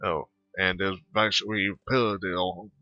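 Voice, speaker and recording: Low guy rambling over his game, kinda hesitant, dry muffled mic. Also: level tone, moderate pace, no room sound, faint music behind. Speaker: gamer playing and commenting. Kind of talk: casual game commentary, reading choices aloud.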